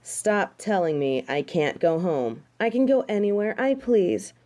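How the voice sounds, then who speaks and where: A woman acting a haughty, mellow-toned fallen angel. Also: mid-range voice, very animated, a little slow. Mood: haughty, irritated. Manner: haughty, theatrical, disdainful. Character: haughty fallen female angel, indignant, snobbish tsundere. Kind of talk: dramatic audio roleplay acting.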